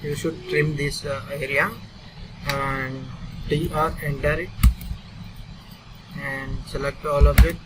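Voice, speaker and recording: Indian man teaching over loud noise. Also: on the high side, little pitch movement. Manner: halting, instructional, in short clipped phrases. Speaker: software tutorial instructor. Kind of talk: step-by-step screen tutorial narration. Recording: distant mic, loud vehicle-like background noise.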